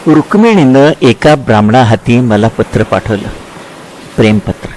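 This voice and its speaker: A man playing Krishna as a tender, reflective lover looking back in a dramatized literary dialogue, speaking with halting repetitions. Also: medium pitch, animated, darkish tone, a little hiss or hum, close to an everyday voice, low-quality recording. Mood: wistful recollection.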